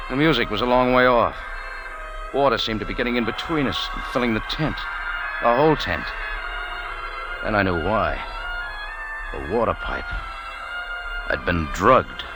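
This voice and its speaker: A man's voice: medium pitch, sing-song, normal speed, long pauses, lightly acted, low-quality recording. A man playing a wry, tough adventurer with an American accent, narrating his story in dramatic old radio style. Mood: dreamy, ominous. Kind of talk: 1940s-50s hardboiled radio drama narration. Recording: vintage radio, music behind.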